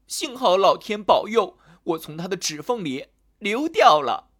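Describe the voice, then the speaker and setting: A man's voice: high voice, sing-song, full character voice. A man voicing a proud, bossy male wheat straw in a children's storybook tale, speaking boastfully. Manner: boastful, quick and loud.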